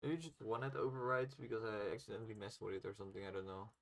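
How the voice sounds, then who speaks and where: A man's voice: lowish voice, steady tone, fast, creaky. A man chatting casually on a game development livestream, muttering to himself as he thinks aloud while working.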